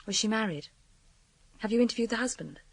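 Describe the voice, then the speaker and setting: An actress playing a sharp, witty journalist in a radio crime drama, articulate and arch in manner. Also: female voice, fairly high pitch, animated, a little fast. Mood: curious. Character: sharp, witty woman journalist, detective's friend.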